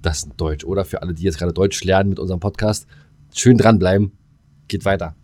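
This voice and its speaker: A man's voice: deep voice, fairly even intonation, fast, darkish tone. A man co-hosting a podcast in casual banter, laconic and dryly joking. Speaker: podcast co-host.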